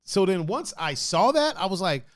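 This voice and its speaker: A man's voice: high voice, sing-song, moderate pace, no echo, clean studio sound. A man co-hosting a casual podcast from home, joking, laughing often and reading out the live chat. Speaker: podcast co-host.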